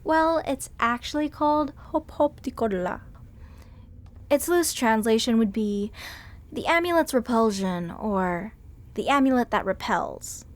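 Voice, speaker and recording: A woman's voice: fairly high pitch, expressive, measured pace. A woman voicing a sweet, bookish female museum curator who earnestly explains legends in an audio roleplay drama. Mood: lecturing. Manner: earnest, lecturing at length on legends and history.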